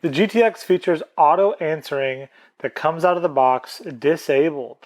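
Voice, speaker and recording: A man's voice: on the high side, normal speed, darkish tone. A man presenting an instructional product tutorial, explaining plainly step by step.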